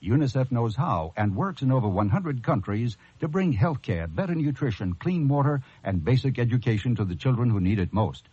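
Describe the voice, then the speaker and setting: A man's voice: mid-range voice, expressive, brisk, few pauses, mellow, slightly rough, no room sound. A man reading a scripted public service announcement in an earnest, composed way. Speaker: charity announcement narrator. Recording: dull, dry radio broadcast.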